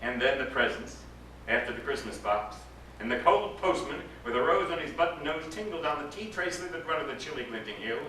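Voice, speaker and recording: A man, an actor, giving an expressive, dramatic reading of a literary story aloud. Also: a little high, brisk. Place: indoor live reading to a gathering. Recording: echoey room, distant mic, background noise.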